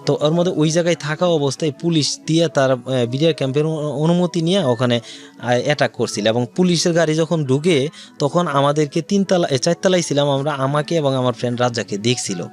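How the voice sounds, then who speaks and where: A man's voice: a little high, brisk, a little mellow, soft background music. A man with a Bangladeshi accent tells his own life story as an interview guest, in detail and with quoted dialogue.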